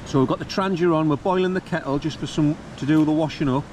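A man's voice: quick pace, darkish tone. A man in his forties with a North East England accent, chatting wryly to a handheld camera on an open hillside. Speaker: camping vlogger. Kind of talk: casual outdoor vlog narration. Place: open grassy hillside valley by stream. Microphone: handheld camera at arm's length. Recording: noisy outdoors, wind and stream rumble. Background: wind and running water.

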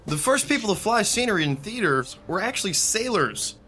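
A man's voice: sits high, sing-song, brisk, pushed and bright, faint music behind, exaggerated voice. A man acting a friendly, chatty theatre techie telling anecdotes in an audio drama. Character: Rick, chatty friendly young male theatre techie storyteller.

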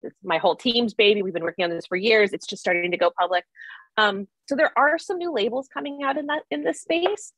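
A woman's voice: mid-range voice, fairly quick, a bit bright, no echo, low-quality recording. A middle-aged woman speaking casually as an expert panelist on a video call. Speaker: expert panelist, environmental program director. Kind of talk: casual, conversational panel talk. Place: indoor room on a video call.

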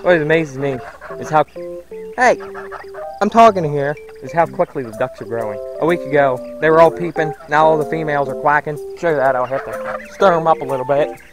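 A man's voice: on the high side, fairly quick, over music. Folksy man rambling through his backyard. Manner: rambling, folksy, thinks aloud. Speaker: homesteading vlogger. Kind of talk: casual walk-and-talk vlog. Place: outdoors in a backyard farm.

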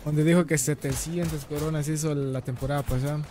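A young Guatemalan man with a Guatemalan Spanish accent, streaming a game and chatting casually with loud, excited outbursts. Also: a little high, a little fast, a little hiss or hum. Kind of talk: casual gaming chat.

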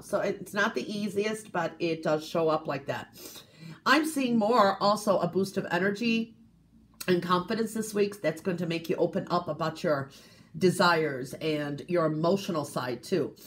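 A woman giving a weekly coffee cup reading in a gentle, casual way, often checking in with "okay?". She is congested from a cold and sniffles. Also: lowish voice. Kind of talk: casual tarot-style reading to camera.

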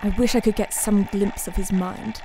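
A woman's voice: mid-range voice, steady tone, moderate pace, warm tone, a little hiss or hum, natural voice, low-quality recording. A woman playing a grave, heartsick Victorian asylum doctor in a radio play, with formal, reflective delivery. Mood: brooding, reflective. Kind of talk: radio play acting, diary narration and dialogue.

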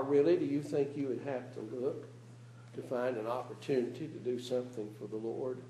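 A man's voice: a little high, a little mellow. Earnest male preacher, roomy church echo. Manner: earnest, asks the congregation rhetorical questions. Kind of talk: sermon. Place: church meeting room.

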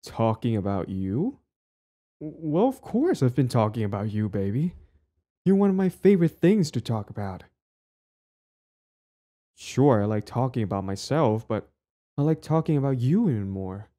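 A man's voice: mid-range voice, sing-song, moderate pace, pauses often, dark tone, natural voice. A young man acting a cocky, possessive and smitten bully in an audio roleplay spoken to the listener. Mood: flustered, pleading. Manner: stammering, swaggering, profane.

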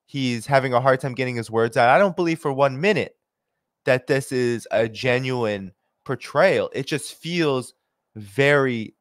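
A man's voice: mid-range voice, unhurried, dry recording. A young man hosting from a home office on a studio mic, commentating animatedly on clips with many fillers. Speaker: host and commentator. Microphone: studio mic with headphones.

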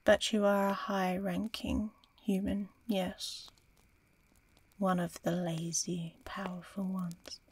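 A woman voicing an aloof, curious, teasing female dragon in a fantasy ASMR roleplay, breathy and often whispering, slow and musing. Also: medium pitch, level tone, a little mellow, no echo. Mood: haughty, probing. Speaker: audio roleplay performer.

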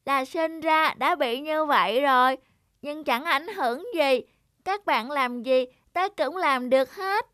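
High, friendly schoolchild. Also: female voice, unhurried, a bit bright, dry recording. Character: friendly, confident young schoolchild. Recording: music under the voice.

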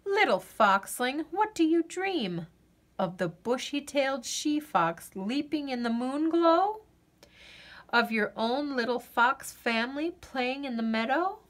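A woman with a fairly high voice reads a picture book aloud for children. Her pitch moves in wide, animated swings while she takes her time over each phrase. The delivery is gentle and expressive, with deliberate pauses for drama.

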